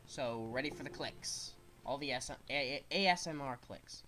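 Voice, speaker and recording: A man's voice: medium pitch, normal speed, pushed and bright, slight background noise. Stuffy-nosed young man narrating gameplay. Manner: rambling, explains controls as he plays. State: stuffed-up nose. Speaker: gaming streamer. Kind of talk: casual gameplay commentary.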